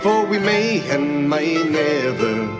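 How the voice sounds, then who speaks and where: Woman folk singer, deep register, very unhurried drinking-song delivery with prominent acoustic accompaniment. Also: sing-song. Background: loud acoustic string music.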